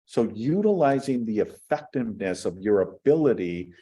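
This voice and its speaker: Animated man giving a stage talk. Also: fairly low pitch, normal speed. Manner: animated, addresses the crowd with "folks" and "right?". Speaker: real estate broker giving a short talk. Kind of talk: energetic stage talk to an audience.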